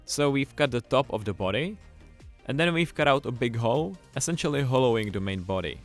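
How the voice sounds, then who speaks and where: A man presenting a scripted tutorial, explaining things clearly and with enthusiasm. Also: mid-range voice, expressive, brisk. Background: music.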